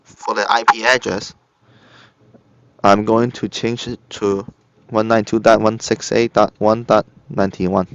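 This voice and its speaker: A man narrating a step-by-step software tutorial in short, halting instructional phrases. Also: fairly low pitch, level tone, no echo. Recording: dull lo-fi computer recording. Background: screen-recorded tutorial voice-over.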